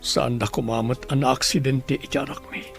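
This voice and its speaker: Creaky-voiced husband played by a man, heartfelt drama. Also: medium pitch, level tone, moderate pace, faint music behind, close to an everyday voice. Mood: anguished, pleading. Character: anguished wealthy husband praying for his wife. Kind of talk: radio drama acting.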